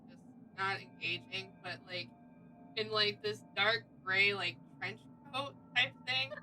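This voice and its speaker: A woman's voice: medium pitch, slow, sharp tone, faint music behind, poor audio. A woman chatting casually as a player in a tabletop roleplaying game.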